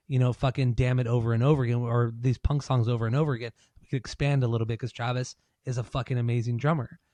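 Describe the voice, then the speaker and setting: A man's voice: mid-range voice, darkish tone, dry recording. A man co-hosting a casual podcast, losing his voice, talking in a loose, opinionated way with plenty of swearing. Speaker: podcast co-host.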